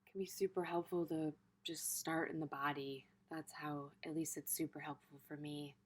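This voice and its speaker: A woman teaching a gentle guided meditation in an informal, tentative way, pausing in the middle of her phrases. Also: sits low, fairly even intonation, breathy edge.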